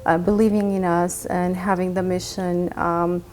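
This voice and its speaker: A woman's voice: lowish voice, flat delivery, darkish tone, slight background noise. Earnest woman from Armenia. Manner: earnest, halting with many restarts. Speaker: charity guest. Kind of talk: conversational interview.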